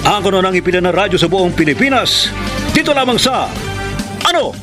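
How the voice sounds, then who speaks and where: A man's voice: high-pitched, big pitch swings, fairly quick, music in the background. A man announcing and narrating a radio crime drama in a dramatic announcer style.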